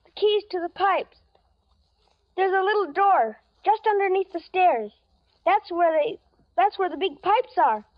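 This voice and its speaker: A woman in 1940s radio acting, voicing a frightened young boy with a high, childlike voice. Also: lively intonation, measured pace, no room sound. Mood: eerie. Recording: dull old radio broadcast.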